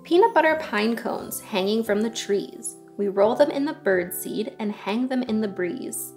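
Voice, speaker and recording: A woman's voice: on the high side, lively intonation, a little slow, a little mellow, faint music behind. A young adult woman presenting a children's story time, reading aloud and chatting in a friendly way. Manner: friendly, chatty asides to her dog. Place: living room sofa, indoors.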